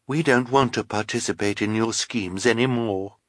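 A man's voice: on the low side, moderate pace, fairly bright tone, no echo, studio quality, close to an everyday voice. A man voicing a weary, firm henchwoman in a storybook, speaking with resigned resolve. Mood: weary defiance.

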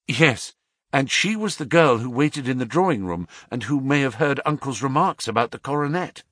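A man's voice: mid-range voice, animated, moderate pace, a bit bright, no echo, clean studio sound, voice pushed up. A man reading aloud, voicing an earnest young woman who pleads and protests loyally in a raised voice. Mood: pleading. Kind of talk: audiobook reading.

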